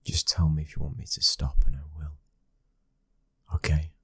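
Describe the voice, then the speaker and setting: Casting: male actor as devoted young British mafia son, very low husky voice, tender and protective, ASMR boyfriend roleplay. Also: little pitch movement, normal speed, no echo, full character voice. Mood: gentle, loving. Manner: soft, breathy, often near-whispered, tender.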